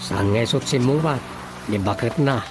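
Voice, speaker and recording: A man's voice: mid-range voice, moderate pace, close to an everyday voice. A man playing a village councilman, a prodding sidekick in a radio drama comedy, conversational and questioning with an Ilocano accent. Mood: reporting, prodding. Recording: music under the voice.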